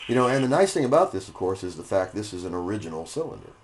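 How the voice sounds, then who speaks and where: A man with a fairly low voice talks through a hands-on demonstration in an unhurried, explanatory way. He is recorded indoors on a camera mic, with some machine noise around his voice. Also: big pitch swings. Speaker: hobbyist demonstrator, host. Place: indoor hobby room. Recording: noisy room, machine noise.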